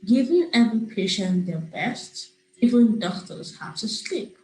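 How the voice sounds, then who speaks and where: A young woman from Nigeria, a public health student, reading a rhyming children's book aloud over a video call in a steady, friendly way. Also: on the high side, a little slow. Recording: roomy video-call audio with faint hiss.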